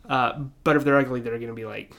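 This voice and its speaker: A man's voice: mid-range voice, fast, creaky, fairly bright tone. A young man co-hosting a podcast, riffing comically in an irreverent, sweary, casual way. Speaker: podcast co-host.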